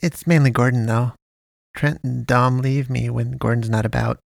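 A man's voice: mid-range voice, steady tone, moderate pace, creaky, no room sound, clean studio sound, natural voice. A man voicing a shy, bullied young schoolboy who speaks in a downcast way. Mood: timid.

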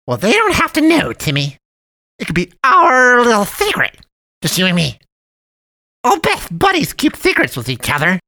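Loud, squeaky, folksy storybook cat man, purring and sweetly cajoling, dry close sound. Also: huge pitch swings, normal speed, no room sound, heavily acted. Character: jolly chubby male cat man of the dump.

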